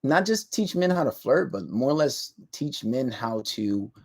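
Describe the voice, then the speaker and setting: A man's voice: mid-range voice, big pitch swings, unhurried, a little mellow. Laid-back man in his thirties. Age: 30s. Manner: laid-back, drawling, trails off and restarts. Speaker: livestream host. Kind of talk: casual livestream chat.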